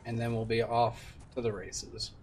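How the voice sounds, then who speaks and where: A man's voice: mid-range voice, flat delivery, measured pace. A man in his twenties giving casual gameplay commentary as a streamer and speedrunner.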